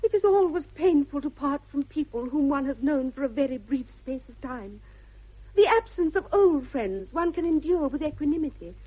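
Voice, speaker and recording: Eager English ingénue for period radio comedy: high, swooping, precise and earnest. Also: female voice, animated, moderate pace. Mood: coy, romantic. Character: eager, sweetly earnest young lady ward. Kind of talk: 1960s radio comedy of manners acting. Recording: dull 1960s radio recording.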